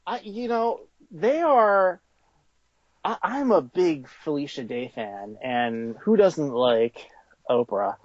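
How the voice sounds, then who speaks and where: A man's voice: fairly high pitch, animated, takes it slowly, no echo, highs cut off. A man being interviewed about his toy company, talking in a casual, conversational way.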